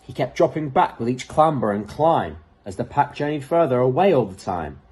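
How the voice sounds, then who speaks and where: A man reading a children's picture book aloud in an expressive, dramatic way. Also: mid-range voice, moderate pace, slight background noise. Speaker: storybook reader.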